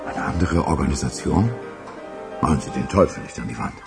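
A man in a German radio crime drama playing a sceptical, gruff police official in a crime boss's service, with a gravelly voice. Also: very deep voice, animated, fairly quick, music in the background, exaggerated voice. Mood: sceptical, soothing.

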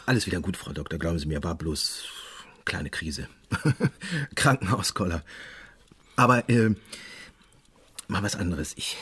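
An actor playing a restless, stubborn police investigator in a radio drama, with a rough, husky voice and a halting, colloquial, insistent manner. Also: male voice, low-pitched, sharp tone, exaggerated voice. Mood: evasive, insistent. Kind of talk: naturalistic German radio drama acting.

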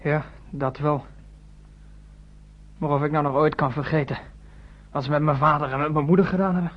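A bitter, grieving boy played by a male actor in a wartime radio drama. His voice is fairly high, and the old recording sounds dull. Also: steady tone, normal speed, close to an everyday voice. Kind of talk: Dutch radio play acting.

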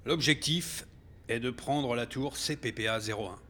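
An actor playing a serious, matter-of-fact rebel leader in a science fiction audio drama. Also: male voice, mid-range voice, normal speed, sharp tone, slight background noise, close to an everyday voice. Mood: serious, businesslike. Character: reproachful male rebel leader briefing fighters.

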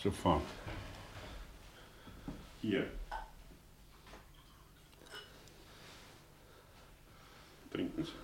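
An actor playing a cynical, eloquent middle-aged professor in a 1960s German radio play, phrasing deliberately and theatrically with a husky voice. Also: male voice, low voice, a little hiss or hum. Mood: agitated. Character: cynical, eloquent male professor, brooding and passionately intense.

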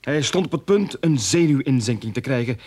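An actor playing a nervous, hesitant bank embezzler in a radio drama. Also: male voice, mid-range voice, animated, brisk, a little mellow, breathy edge. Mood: uneasy explaining. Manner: hesitant, stammering under pressure.

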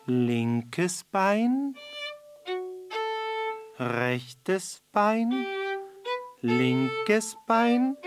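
An actress playing a small, excitable, courteous beetle in a children's radio play, flustered and exclamatory. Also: female voice, medium pitch. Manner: flustered, stammering at times, exclamatory. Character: excitable, courteous little cockchafer with a missing leg, fiddler.

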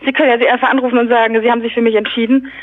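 A woman's voice: fairly high pitch, level tone, racing, dry recording. Woman on a tinny phone line. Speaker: prank call recipient, model. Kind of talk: spontaneous phone conversation. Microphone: telephone.

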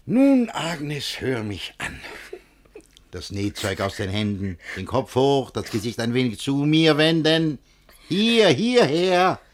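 An actor playing a domineering, scheming, jealous older guardian in a verse comedy radio play, speaking in a theatrical, lecturing way with a husky voice. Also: male voice, mid-range voice, very animated, slow, a little hiss or hum. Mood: smug, lecturing.

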